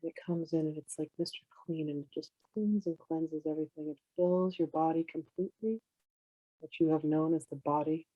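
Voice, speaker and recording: A meditation teacher guiding in a soothing, unhurried way, with gentle instructions in drawn-out phrases. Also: medium pitch, fairly even intonation, dry recording, phone-quality sound. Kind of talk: guided meditation, slow instruction.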